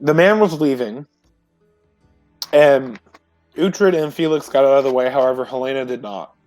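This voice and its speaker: Halting man recapping over music, dry sound. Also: mid-range voice, darkish tone, dry recording, low-quality recording. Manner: halting, self-correcting storytelling. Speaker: tabletop roleplay player. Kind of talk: informal recap of a previous game session.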